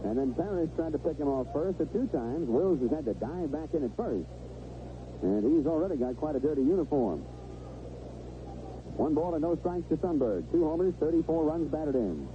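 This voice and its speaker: Male 1970s radio baseball play-by-play man, higher-pitched, clipped phrasing, dull noisy AM sound. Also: lively intonation, moderate pace. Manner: clipped phrases, describing each play as it happens. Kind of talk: live radio sports commentary. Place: stadium radio booth.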